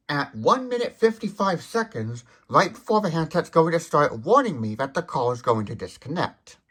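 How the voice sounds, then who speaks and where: A man's voice: on the high side, a bit bright, slightly rough. A man hosting a step-by-step product demonstration, explaining as he goes and correcting himself while thinking aloud. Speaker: host of a phone gadget demonstration channel.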